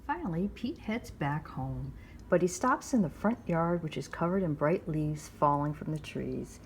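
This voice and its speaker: A woman hosting storytime, reading a picture book aloud to young children with measured, expressive phrasing. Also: low voice, slight background noise. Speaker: library storytime host.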